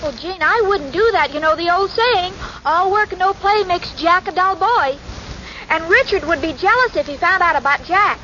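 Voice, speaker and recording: An actress playing a naive, sweet, scatterbrained young secretary in 1940s radio comedy, with an earnest, hesitant manner. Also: female voice, very high voice, animated, moderate pace, sharp tone, exaggerated voice. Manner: earnest, dim, hesitant, muddles sayings. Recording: noisy, muffled 1940s radio recording.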